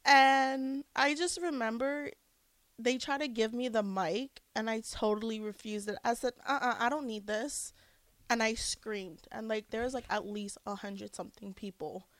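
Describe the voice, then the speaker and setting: A woman co-hosting a casual chat show, an animated storyteller with a loud voice. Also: fairly high pitch, moderate pace, dry recording. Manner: animated storyteller, says she has a loud voice. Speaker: co-host.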